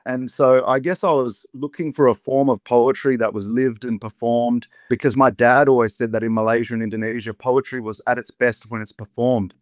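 Australian male guest, 30s, relaxed anecdotal talker, mid pitch, dry studio sound. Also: moderate pace, few pauses, dry recording. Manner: warm storyteller, chatty with many "you know" asides. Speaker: guest, poet and rapper. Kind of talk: relaxed reflective interview talk.

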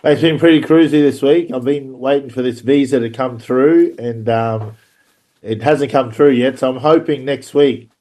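Man, mid-pitched, laid-back, casual podcast chat. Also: normal speed, a little mellow. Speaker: rugby guest.

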